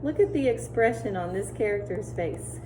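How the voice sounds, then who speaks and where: A woman teacher doing an expressive storybook read-aloud for children, pausing to explain and ask questions. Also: mid-range voice. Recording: clear background noise.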